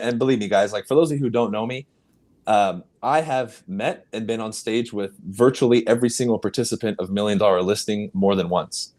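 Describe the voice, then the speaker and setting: Emphatic man with punchy delivery. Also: a little mellow. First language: Spanish. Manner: emphatic, punchy, sweeping pitch jumps. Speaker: guest real estate coach. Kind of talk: motivational podcast interview.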